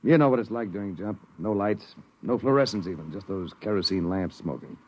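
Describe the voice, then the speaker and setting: An actor playing a cynical, talkative, slangy spaceship crewman with a husky voice in a 1950s radio drama. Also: on the low side, a little fast. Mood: sardonic, awed. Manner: colloquial, animated storytelling. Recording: dull old radio broadcast recording.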